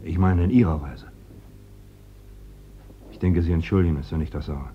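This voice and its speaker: An actor playing a bitter Republican worker in a radio play, probing with pointed, insistent irony. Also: male voice, sits low, normal speed, lightly acted. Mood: ironic, pointed. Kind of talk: German radio play acting. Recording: dull, noisy old radio recording.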